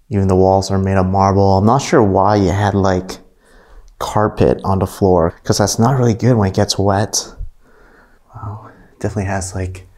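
A man's voice: on the low side, darkish tone. A man casually narrating as he walks through an abandoned mansion, easily impressed and full of fillers, recorded on a camera mic indoors. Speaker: urban explorer vlogger.

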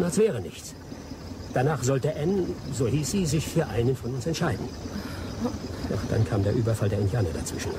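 Over clearly audible outdoor ambience, a male actor plays a bitter, vengeful adventurer of middling pitch. His delivery is dramatic and emphatic, in the manner of a radio play. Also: very noisy, lightly acted. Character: bitter, vengeful adventurer Anthony, a betrayed Englishman. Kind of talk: German radio play acting, dramatic storytelling.

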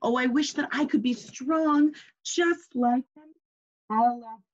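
Woman voicing a small wishful chameleon, slow. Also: high voice, lo-fi sound, voice pushed up. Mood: longing. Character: small chameleon, wishful, forever longing to be different.

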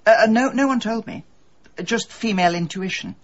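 An actress playing a shrewd middle-aged amateur sleuth in a radio drama, with a polite, tactful and proper way of talking. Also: female voice, a little high, moderate pace, lo-fi sound, close to an everyday voice. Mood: probing.

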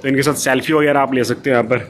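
A man's voice: medium pitch, steady tone, racing. A man vlogging a walking tour of a dog-friendly cafe, explaining everything in a chatty way while filming on his phone. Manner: chatty, explaining as he walks, filler-heavy. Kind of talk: casual walkthrough vlog. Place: dog cafe, indoor-outdoor seating.

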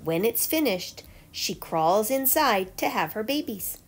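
A woman's voice: mid-range voice, expressive, measured pace. A woman reading a children's picture book aloud like a teacher, with emphatic storytelling delivery. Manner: clear storytelling delivery with emphatic questions and answers.

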